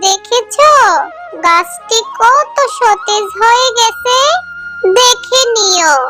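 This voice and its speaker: Very high-pitched earnest little cartoon girl. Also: expressive, drawn-out words, over music, heavily acted. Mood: hopeful, delighted. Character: earnest, kind-hearted little animal girl Mina. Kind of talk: children's cartoon voice acting.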